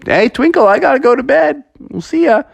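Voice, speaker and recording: A woman voicing an excitable, cheerful male frog in a spoken bedtime story, talking in a bouncy sing-song way. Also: on the high side, unhurried, dry recording, voice pushed up, full character voice. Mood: calling out.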